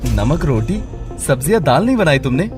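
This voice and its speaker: A man playing a poor, hardworking, devoted husband in an animated moral story, earnest and pleading. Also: fairly high pitch, moderate pace, music in the background. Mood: weary, meek.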